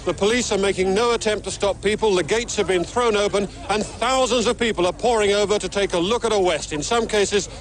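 High-voiced male reporter on location. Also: fairly quick, hardly any pauses, rough recording. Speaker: field reporter. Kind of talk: live news report. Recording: outdoor archive report with crowd.

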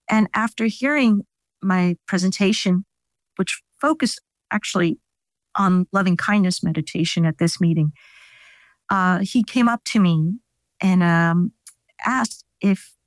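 A woman's voice: lowish voice, dry recording. A woman scientist whose first language is Italian, explaining her research in an interview with many fillers and restarts. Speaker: scientist guest. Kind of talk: interview answers.

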